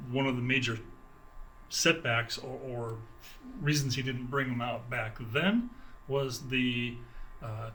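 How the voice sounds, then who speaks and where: A man giving an informal product explanation in an interview as a sales director, speaking haltingly with restarted phrases. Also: mid-range voice, pushed and bright, slight background noise, poor audio. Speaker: sales director for a loudspeaker maker. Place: exhibit room at an audio trade show.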